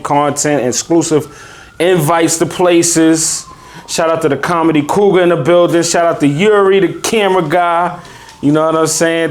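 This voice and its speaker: A man hosting a casual podcast from a bakery, teasing his guests with quick playful reactions. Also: a little high. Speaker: podcast host.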